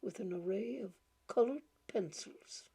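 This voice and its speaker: A woman reading a short story aloud in a gentle, halting way, repeating words and laughing softly as she goes. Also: mid-range voice, slightly rough. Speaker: audiobook reader.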